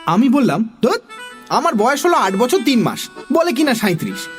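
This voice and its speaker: Guy reading a kids story, super high voice, very swoopy and expressive, bit of music behind. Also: normal speed. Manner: expressive, theatrical. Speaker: narrator, also voicing a young boy. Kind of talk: animated children's story narration.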